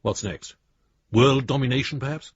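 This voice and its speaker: An actor playing a wry, wistful friend reading out his letter in a radio drama, husky and understated, with gentle irony. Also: mid-range voice, moderate pace, dull audio, natural voice. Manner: dry, understated, gently ironic.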